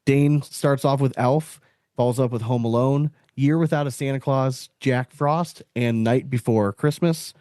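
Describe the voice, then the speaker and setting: A man's voice: mid-range voice, unhurried, darkish tone, clear, resonant tone, no room sound. Casual man in his thirties, dry close sound. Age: late 30s. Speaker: podcast host. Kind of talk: casual chat.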